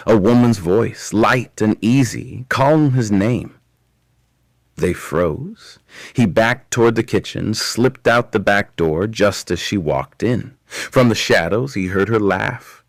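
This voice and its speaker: A man narrating scripted dramatic fiction as an audio story, in short clipped phrases with deliberate pauses. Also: fairly low pitch, gravelly.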